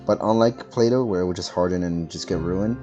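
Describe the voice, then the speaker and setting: A man's voice: low voice, a little fast, warm tone, dull audio, soft background music. A man giving casual, rambling commentary as he streams a game. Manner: rambling, informal.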